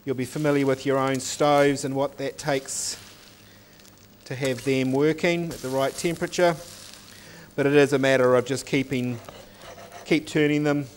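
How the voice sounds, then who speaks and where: A man's voice: mid-range voice, steady tone, moderate pace, a little hiss or hum. A man, a cooking teacher explaining each step as he cooks in a teaching kitchen. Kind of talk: cooking demonstration. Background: oil sizzling in a pan.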